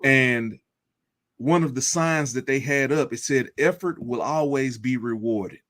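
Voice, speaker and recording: A man telling his own comeback story as a motivational speaker, earnest and measured, repeating key phrases. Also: mid-range voice, fairly bright tone. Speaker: guest speaker.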